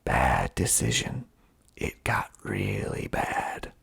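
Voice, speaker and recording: A man whispering breathily in ASMR style into a close mic, reading aloud slowly and deliberately with dry asides. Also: extremely low pitch, wildly swooping pitch, vocal fry, a bit bright, husky. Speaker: filmmaker and ASMR video host. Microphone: close mic with pop filter.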